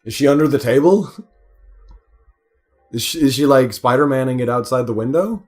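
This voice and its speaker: A man's voice: medium pitch, moderate pace, darkish tone, faint music behind. A man giving casual, joking commentary on a video game as a let's play commentator.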